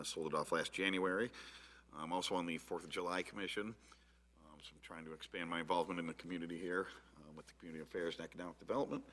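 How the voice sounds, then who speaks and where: A man's voice: low voice, bright, forceful tone. A man, a new commission member, informally introducing himself at a meeting.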